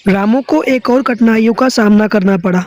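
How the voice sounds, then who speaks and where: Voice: medium pitch, normal speed, a little mellow. A narrator reading a scripted children's story.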